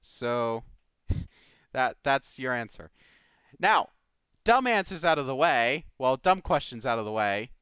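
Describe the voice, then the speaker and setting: A man's voice: medium pitch, animated, takes it slowly, pauses often, a bit bright, smooth, dry recording. A man hosting a live Q&A podcast in a casual, informal way, reading out questions and commenting loosely. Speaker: host of a live community Q&A show.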